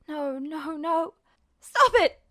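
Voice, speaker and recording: Terrified, defiant boy played by a woman narrator, high and desperate, stammering his protests in a dramatized story. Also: animated, takes it slowly, exaggerated voice. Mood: panicked anger. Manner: frantic stammering, shouting, repeating himself. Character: terrified, defiant boy, hot-tempered and pleading. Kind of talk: dramatized fan fiction reading.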